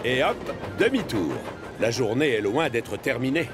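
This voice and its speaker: A man's voice: mid-range voice, sing-song, a little slow. A man narrates a children's cartoon in a scripted storytelling style, with a mid-range voice. Music plays behind him.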